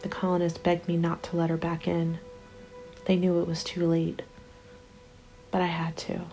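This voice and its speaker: A woman acts out a haunted, determined survivor of the zombie apocalypse in an ASMR roleplay. Her voice is soft, dark and breathy, often sinking to a whisper, and it stays fairly even and intimate. Music plays behind her. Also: fairly low pitch, lightly acted. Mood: grieving, bleak. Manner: hushed, intimate, deliberate. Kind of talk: ASMR roleplay, dramatic monologue. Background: background music.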